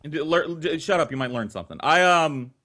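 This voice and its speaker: A man's voice: a little high, lively intonation, brisk, bright, forceful tone. A man hosting a casual talk show, telling stories and bantering with crude, profane teasing. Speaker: storytelling talk show host. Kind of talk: casual group banter and storytelling.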